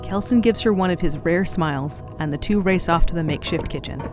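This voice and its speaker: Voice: mid-range voice, a little fast, over music. A narrator reading a scripted audio drama in a descriptive storytelling manner.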